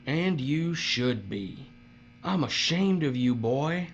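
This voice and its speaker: Male actor as menacing male overseer, sneering, mock-polite, unhurried, dull humming recording. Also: a little high, lively intonation, a little hiss or hum. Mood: smug, leering. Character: menacing, smug male overseer who lords over workers. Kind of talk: audio drama acting.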